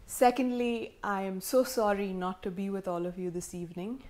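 A woman in her thirties, heavily pregnant, delivering a sincere, measured acceptance speech with gentle humor, recorded at home in her living room. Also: mid-range voice, fairly even intonation. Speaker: prize winner giving acceptance speech. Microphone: camera in front, seated framing.

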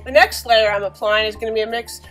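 A young woman, slightly hoarse from a cold, chatting casually through a painting tutorial in a small bedroom, recorded on the camera mic with many fillers. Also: fairly high pitch, fairly even intonation, fast, a bit bright, faint music behind.

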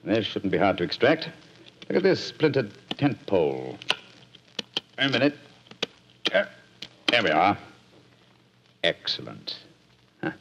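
An actor playing a brisk, commanding middle-aged detective with a crisp, clipped, theatrical delivery, in 1940s radio acting on a lo-fi broadcast. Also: male voice, on the low side, very animated, fairly bright tone, a little hiss or hum, heavily acted.

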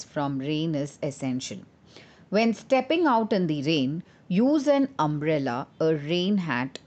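Female podcast narrator, low register, slow measured delivery, instructional scripted read. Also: darkish tone. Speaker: podcast host.

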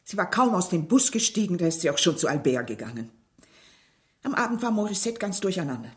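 A woman's voice: lowish voice, very animated, fairly quick. An actress playing a middle-aged, complaining village mother in a German radio drama, defensive and aggrieved. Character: strict, bitter, complaining French village widow and mother.

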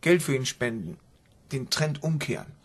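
A man playing an earnest, intense son absorbed in a game, husky and creaky, in a German radio drama. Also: mid-range voice, sing-song, normal speed. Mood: urgent, pleading.

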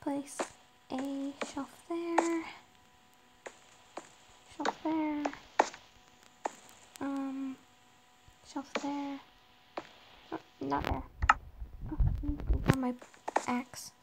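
A high-voiced woman with a raspy, gravelly edge narrates her game slowly and hesitantly, filling gaps with little pauses. She talks to herself as she plays. The recording carries a clear layer of background noise. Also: level tone. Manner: slow, hesitant, lots of ums, talks to herself while building. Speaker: Minecraft roleplay player. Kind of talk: casual gameplay narration. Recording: noisy background.

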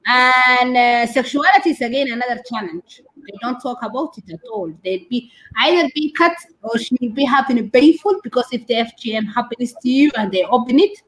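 A woman in her thirties with a Somali accent, answering as an interview guest on a video call, haltingly repeating and rephrasing. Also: a little high, expressive, fairly bright tone, poor audio. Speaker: guest doctor and women's rights activist. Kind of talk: interview answers on a live stream. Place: curtained room indoors, on a video call. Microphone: video call device mic.

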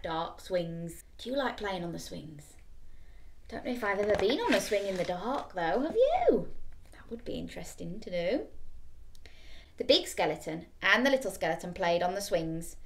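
A woman's voice: medium pitch, fairly bright tone. A woman in her thirties reading a bedtime story to young children, expressively, with questions for the listener. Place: small bedroom, indoors.

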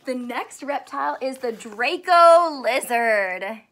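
A woman in her thirties reading a children's book aloud in an expressive, teacherly way, asking questions and drawing out key words. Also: sits high, takes it slowly. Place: small indoor room with couch and stuffed toys.

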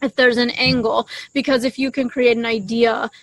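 A young woman speaks in a casual podcast discussion. Her voice sits a little high, and the sound is dry, with no room echo. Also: flat delivery, moderate pace. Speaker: podcast co-host. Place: outdoors in a leafy garden. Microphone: desktop condenser microphone shared between two.

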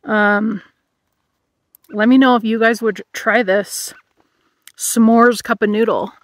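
A woman's voice: a little high, steady tone, takes it slowly, no echo. A woman in her thirties reviewing camping gear in a casual vlog, musing aloud while she eats, recorded outdoors in a meadow.

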